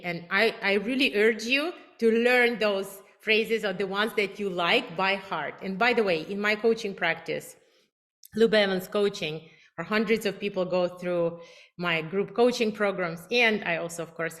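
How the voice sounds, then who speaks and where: A relationship coach speaks with a clear Russian accent, her voice sitting at a middle pitch for a woman. She talks with eager emphasis, selling her methods directly to the viewer. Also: fairly bright tone. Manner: emphatic, enthusiastic, self-promoting. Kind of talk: direct-to-camera advice talk.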